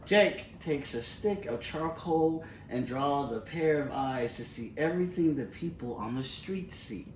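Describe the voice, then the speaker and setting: A man reading a children's storybook aloud expressively, in measured, deliberate phrases with pauses. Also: medium pitch, lively intonation, darkish tone. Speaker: picture book reader. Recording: roomy, distant mic with faint noise.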